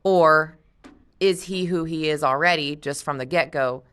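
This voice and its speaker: A woman's voice: low-pitched, a little slow, a bit bright, clean tone. A woman teacher reading a novel aloud to a class and discussing it in a casual, explaining way, thinking aloud.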